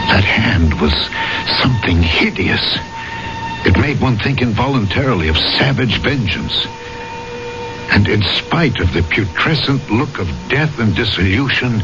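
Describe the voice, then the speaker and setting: A grave storyteller full of dread in a seventies radio play. His very low voice is deliberate. Music sits clearly behind the muffled vintage recording. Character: grave man recounting a horror with dread. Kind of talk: 1970s radio drama narration. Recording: dull old radio recording under music.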